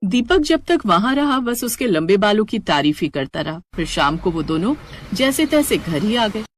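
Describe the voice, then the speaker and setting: A woman's voice: mid-range voice, sing-song, normal speed, slight background noise. A woman narrator reading a scripted Hindi cartoon story.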